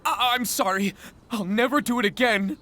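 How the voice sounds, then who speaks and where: A man's voice: very high-pitched, moderate pace, sharp tone, slight background noise. A man playing a sheepish young village lad in a video game, meek and strained. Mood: contrite.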